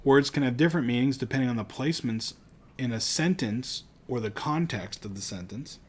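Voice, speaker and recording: A man's voice: medium pitch, brisk, breathy edge. A man from Pittsburgh with a Pittsburgh accent, teaching a recorded lecture in a chatty, conversational way with casual asides.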